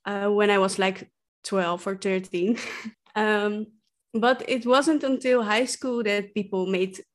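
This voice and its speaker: A woman's voice: medium pitch, fairly even intonation, takes it slowly. A young woman from the Netherlands with a Dutch accent, a guest chatting casually in an interview, laughing often and using many fillers. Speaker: guest, clothing brand founder.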